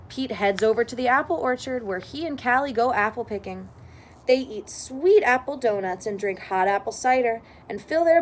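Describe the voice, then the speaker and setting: A woman reading a children's storybook aloud in expressive narration. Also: high voice, a little hiss or hum. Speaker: storybook reader.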